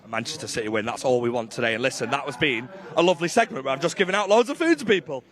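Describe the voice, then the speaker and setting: A man's voice: medium pitch, animated, very fast, a crowd in the background. A man working as a roving presenter, chatting directly and upbeat with fans in live outdoor interviews. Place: outdoors outside a stadium.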